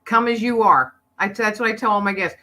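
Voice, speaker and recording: A woman's voice: mid-range voice, quick pace. A middle-aged woman, a podcaster and author, chatting as a guest and telling stories animatedly with frequent laughter. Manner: animated storyteller, laughs often. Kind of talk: casual interview chat.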